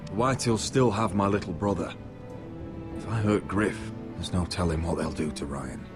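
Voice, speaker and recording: A man's voice: low-pitched, normal speed, over music, lightly acted. A man acting as a burdened, protective young lord in a fantasy video game, with an earnest delivery. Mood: worried.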